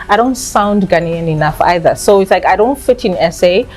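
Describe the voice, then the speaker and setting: A woman in her thirties, raised in South Africa, answering interview questions with candid, animated storytelling. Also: lowish voice, normal speed. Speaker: nail salon owner.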